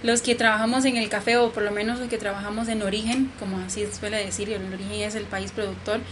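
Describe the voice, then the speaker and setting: A woman's voice: medium pitch, little pitch movement, quick pace. A woman hosting an interview, asking questions in a friendly way. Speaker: interview host. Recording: noisy background ambience.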